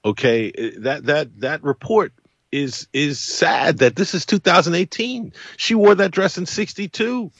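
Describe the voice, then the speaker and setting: A man's voice: a little high, a little slow, a bit bright, breathy edge, no echo, muffled recording. A man speaking as a guest in a casual conversation, emphatic and repeating phrases for stress. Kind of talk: casual chat.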